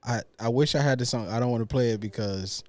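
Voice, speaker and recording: A woman's voice: extremely low pitch, quick pace, darkish tone, no echo. A young adult woman co-hosting a casual podcast chat, telling a story emphatically and repeating her points. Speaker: podcast co-host.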